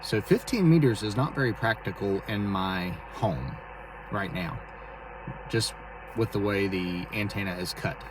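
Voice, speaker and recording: A man talking casually and haltingly as he explains his amateur radio hobby in a vlog from inside a vehicle. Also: on the low side, lively intonation. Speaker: amateur radio operator and video host. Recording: distant mic, clear vehicle and radio noise.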